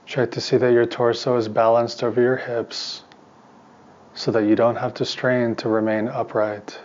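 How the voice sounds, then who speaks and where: Man leading a guided meditation, even monotone. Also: medium pitch, moderate pace, dull audio. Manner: calm, soothing instruction in short, spaced phrases. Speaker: meditation teacher and therapist.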